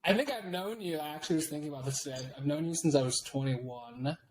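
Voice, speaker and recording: A man in his late twenties from Washington State, a guest talking over a video call, laid-back and quick to laugh. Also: a little high. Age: 20s. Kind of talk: casual interview chat.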